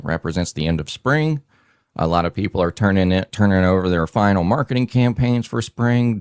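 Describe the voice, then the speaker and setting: Deep-voiced rambling male podcast host. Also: no room sound, clean studio sound. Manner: rambling, emphatic, many fillers and restarts. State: hot and sweating. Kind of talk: casual crypto show talk.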